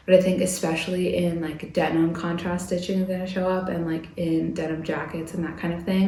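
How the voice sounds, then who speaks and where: Lowish voiced girl rambling about trends, bit stuffy from a cold, echoey room. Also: darkish tone. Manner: chatty, lots of "like" fillers. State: recovering from a cold. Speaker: fashion vlogger. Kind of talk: casual chatty vlog.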